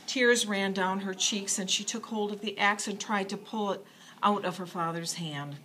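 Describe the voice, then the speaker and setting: A woman's voice: mid-range voice, steady tone, moderate pace, fairly bright tone, a little hiss or hum, poor audio. A woman narrating a children's bedtime story expressively.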